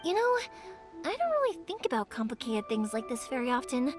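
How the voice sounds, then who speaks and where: Cheery young fireworks girl, earnest and warm, high lively voice, music under it. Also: fairly quick. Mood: reflective. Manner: warm, earnest, reflective with soft laughs. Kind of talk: video game dialogue. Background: game music.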